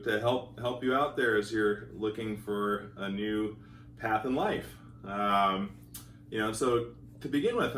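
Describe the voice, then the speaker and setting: Deep-voiced man, halting and roomy. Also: a bit bright, slight background noise. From Montana, United States. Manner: halting, many fillers and repeated words. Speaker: school teacher sharing his marriage story. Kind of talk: casual testimonial. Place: home in California. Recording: roomy echo, voice from a distance.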